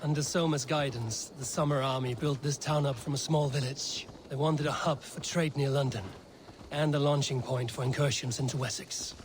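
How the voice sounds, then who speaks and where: An actor playing a steady, friendly Viking warrior leader in a video game, measured and dryly teasing. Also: male voice, medium pitch, noisy background, close to an everyday voice. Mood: calm, informative. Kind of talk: video game dramatic acting.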